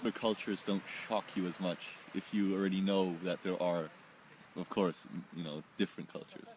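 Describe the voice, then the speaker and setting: An Austrian man who grew up in several countries, answering personal questions reflectively in short phrases with pauses. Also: low-pitched, little pitch movement, a little mellow, a little hiss or hum, low-quality recording. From born in Oman, Austrian, grew up in several countries. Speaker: interviewee.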